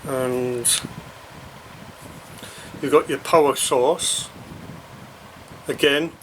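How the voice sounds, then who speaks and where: A man reviews a product in an unscripted, casual style, with a mid-pitched voice. He speaks slowly and hesitantly, often thinking aloud in halting phrases. The recording is clearly noisy, with a steady hum behind his voice. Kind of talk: casual unscripted unboxing commentary.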